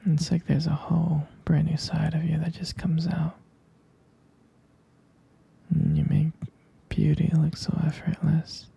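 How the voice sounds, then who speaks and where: A man performing intimate boyfriend roleplay ASMR in a breathy, husky whisper, murmuring tenderly and adoringly with soft pauses. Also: on the high side, completely flat delivery, no echo, muffled recording.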